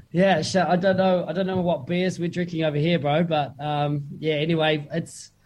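A man's voice: a little high, flat delivery, normal speed, a little mellow, slight background noise. Laid-back Kiwi man, slangy and chatty. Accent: New Zealand. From New Zealand. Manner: laid-back slangy talk with many fillers and laughs. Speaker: musician guest. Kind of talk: casual interview chat.

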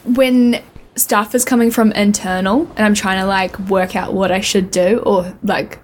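A woman's voice: mid-range voice, fairly even intonation, a little slow, a little hiss or hum. A young woman co-hosting a podcast, talking candidly and thoughtfully, often searching for the right word. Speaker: podcast co-host. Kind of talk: casual chat.

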